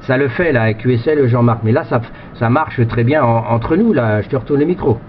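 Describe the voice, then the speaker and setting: A man's voice: medium pitch, fairly quick. Rambling male ham operator, dull lo-fi sound. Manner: hesitant, repetitive, rambling delivery. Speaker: amateur radio operator. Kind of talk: casual radio contact chat with ham jargon. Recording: dull lo-fi radio-station audio, faint noise.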